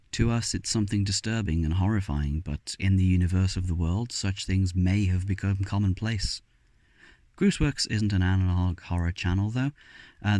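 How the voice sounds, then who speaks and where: A man hosting and narrating a scripted video essay, speaking in measured written prose. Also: low voice, darkish tone, no room sound. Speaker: video host.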